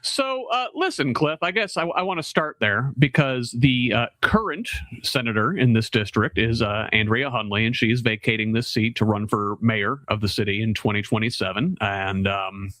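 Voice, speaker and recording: A man hosting a virtual town hall, relaying viewer questions in an animated way with many fillers. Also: medium pitch, normal speed. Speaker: host. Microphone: video call.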